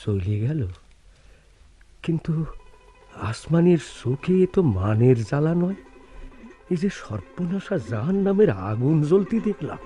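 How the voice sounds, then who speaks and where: High, animated teasing village man. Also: a little slow, long pauses, faint music behind. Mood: brooding. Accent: rural Bangladeshi. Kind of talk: radio drama acting.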